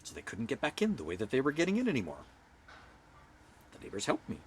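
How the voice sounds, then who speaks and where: A middle-aged man from Canada with a loud voice, ranting bluntly to camera and swinging from hushed whispers to forceful outbursts. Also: mid-range voice, wildly swooping pitch, quick pace, breathy edge. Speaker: vlogger. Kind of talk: personal rant to camera.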